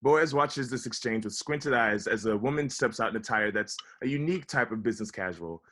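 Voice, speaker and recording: A man's voice: medium pitch, a little fast, a bit bright. A man reading stage directions aloud as the narrator of a scripted table read.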